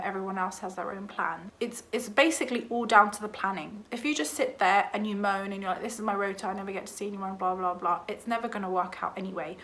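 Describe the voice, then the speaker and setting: A young woman in her twenties speaks to her viewers in a relaxed vlog. Her voice is croaky from a cold, and her delivery is chatty and frank, full of casual fillers. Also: mid-range voice. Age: 20s. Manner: chatty and candid, many ums and likes. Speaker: junior doctor vlogger. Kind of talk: casual vlog talk to camera.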